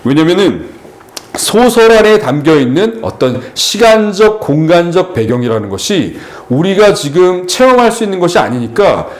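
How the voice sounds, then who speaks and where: Middle aged guy lecturing on exam lit, kinda high voice, pitch swings all over, lots of uh's. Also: very animated, moderate pace. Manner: explanatory lecturing with frequent fillers and restarts. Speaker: Korean literature exam tutor. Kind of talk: lecture at an exam-prep seminar.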